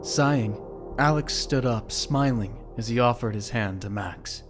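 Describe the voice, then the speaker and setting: A man's voice: medium pitch, measured pace, faint music behind. A man giving scripted, dramatic story narration for an audio drama.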